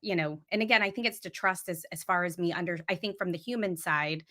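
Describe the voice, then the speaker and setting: Woman, panelist, warm, chatty, self-deprecating, lowish, bright tone. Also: quick pace. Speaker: nonprofit panelist. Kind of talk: panel discussion.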